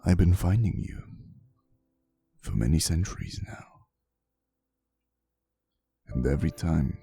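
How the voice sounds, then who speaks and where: A man voicing a centuries-old, devoted vampire boyfriend in an intimate ASMR roleplay, with a gravelly voice and a soft, theatrical, old-fashioned way of telling his story. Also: animated, slow pace, soft background music, heavily acted. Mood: reassuring, tender. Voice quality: very deep, gravelly.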